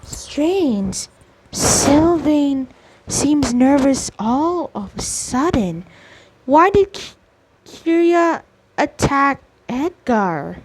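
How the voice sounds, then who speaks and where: Very slow, wary young woman. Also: sits high, sing-song, a little hiss or hum, full character voice, low-quality recording. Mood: wary, pondering. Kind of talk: video game voice acting.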